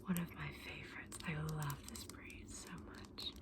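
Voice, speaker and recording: A woman whispering breathily in a gentle, unhurried ASMR roleplay as a shopkeeper talking to a customer. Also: low voice, steady tone. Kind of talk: whispered ASMR roleplay as a dragon egg saleswoman.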